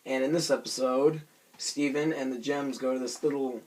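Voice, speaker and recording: Hoarse, raspy young man vlogging. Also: mid-range voice, steady tone, normal speed, darkish tone. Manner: self-conscious, rambling with many fillers and restarts. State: hoarse from screaming. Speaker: vlogger reviewing a cartoon episode. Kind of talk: casual rambling vlog.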